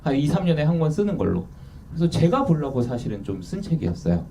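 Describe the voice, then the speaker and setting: Lively, mellow-voiced man speaking from afar. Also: a little high, fast talker. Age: 30s. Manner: candid, self-deprecating, lively. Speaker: author guest. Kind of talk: casual interview talk. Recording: distant mic with faint noise.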